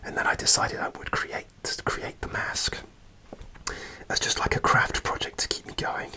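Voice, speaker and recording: Close, soft-whispering man. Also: moderate pace. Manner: soft rambling whisper with frequent hesitations. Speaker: ASMR creator. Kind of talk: whispered ASMR storytelling.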